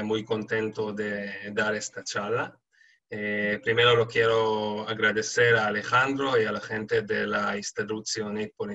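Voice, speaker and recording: Hesitant Israeli man, bright tone. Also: fairly low pitch. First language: Hebrew. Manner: halting, many hesitant "eh" fillers. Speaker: guest speaker. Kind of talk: online lecture. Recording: lo-fi video call audio.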